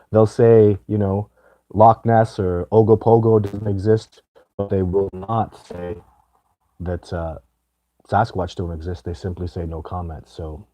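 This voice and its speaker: A middle-aged man with a sore, raspy throat and a very low, dark voice talks through a live Q&A. He rambles and restarts often, pressing his points hard. The sound is close and dry, with no room around it. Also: dry recording, low-quality recording. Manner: rambling, emphatic, many false starts. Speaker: livestream host answering viewer questions. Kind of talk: casual live chat.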